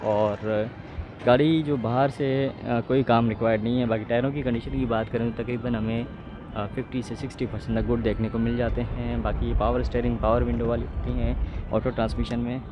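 A man's voice: medium pitch, level tone, highs cut off. Man touring a car bazaar. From Pakistan. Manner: brisk salesy patter, questioning sellers. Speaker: car market vlogger. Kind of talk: walk-around car review vlog. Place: outdoor car market. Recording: outdoor street noise.

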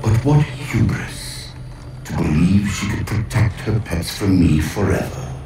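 A gravelly ancient alien warlord. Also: male voice. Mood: scornful. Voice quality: deep gravelly menacing rasp. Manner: slow, grandiose, scornful pronouncements. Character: ancient vengeful alien warlord, male, towering and contemptuous. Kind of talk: video game cutscene acting. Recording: echoey distant voice over orchestral score.

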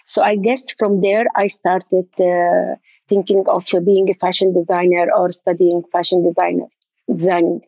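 Older woman from Jordan, halting speech. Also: fairly low pitch, level tone, creaky, a little mellow, no room sound, muffled recording. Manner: halting, searching for words. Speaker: event designer, guest. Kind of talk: interview conversation.

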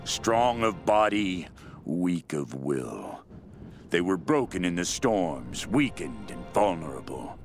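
Slow, gravelly, fanatical cult leader. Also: male voice, deep voice, heavily acted. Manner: slow, brooding, menacing, intense. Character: fanatical male cult leader, madman obsessed with a queen. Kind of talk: dramatic diary monologue in a video game.

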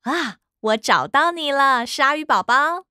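Cartoon mother shark: playful, gentle, slow and very animated, Taiwanese Mandarin. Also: high voice, background noise. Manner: sing-song, coaxing, patient. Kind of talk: children's cartoon dubbing. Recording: children's music behind voice.

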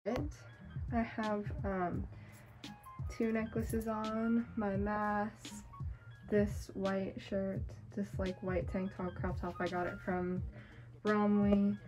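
Chatty teen girl vlogger, casual and rambling, close phone mic, soft music underneath. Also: medium pitch, flat delivery, very slow, poor audio. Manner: chatty, rambling, lots of "like" fillers. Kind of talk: casual day-in-the-life vlog. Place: small bedroom and home, indoors. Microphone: phone camera held close.

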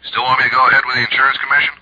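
An actor playing a businesslike insurance colleague in a 1950s radio drama, matter-of-fact and questioning. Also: male voice, mid-range voice, little pitch movement, racing, bright, forceful tone, slightly rough. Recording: dull, lo-fi old radio recording.

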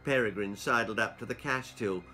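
A man in his sixties narrating a children's story in an expressive, deliberate, theatrical way. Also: fairly low pitch, faint music behind. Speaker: author reading his own stories. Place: small book-lined room indoors.

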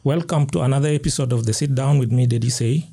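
A man's voice: mid-range voice, fast talker, vocal fry, mellow, slight background noise. A man hosting a casual studio interview, often giving short acknowledgements. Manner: gives frequent short acknowledgements. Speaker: podcast host. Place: small recording studio. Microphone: studio mic on boom arm.

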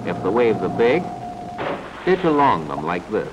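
A man's voice: fairly low pitch, big pitch swings, a little slow, lo-fi sound. A man acting as an easygoing wartime pilot, informal and matter-of-fact, in a 1940s instructional film. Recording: very noisy 1940s film soundtrack.

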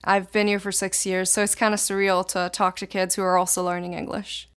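A teenage girl from Israel whose first language is Hebrew, answering interview questions in long, flowing sentences. Her voice is smooth and clear, and her pitch sits in an ordinary middle range. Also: flat delivery, fairly quick, a bit bright. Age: teens.

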